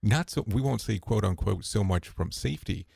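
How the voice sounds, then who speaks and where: A man speaks as a podcast guest, his voice very low with a gravelly fry. He tells stories in a relaxed way, restarting sentences and dropping quote-unquote asides. The sound is close and dry, with no room around it. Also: normal speed, no room sound. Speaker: podcast guest, safety broadcaster. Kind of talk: conversational interview answers.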